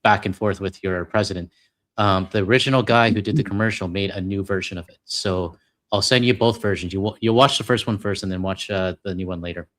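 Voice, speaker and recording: A middle-aged man with a Canadian accent and a somewhat low voice. He talks in a loose, rambling way, full of fillers and self-deprecating asides, as he co-hosts a live stream. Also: fairly quick. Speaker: co-host reading donations. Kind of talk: casual live-stream chat.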